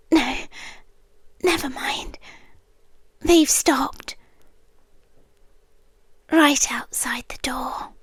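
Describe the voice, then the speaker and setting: A British woman playing a tender, eerie, possessive doctor in a whispered ASMR roleplay, speaking softly and often dropping into a whisper. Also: sits high, dry recording, heavily acted. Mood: ominous, soothing. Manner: soft, hushed, unhurried, often whispering. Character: eerie, tender, possessive female doctor haunting an empty hospital.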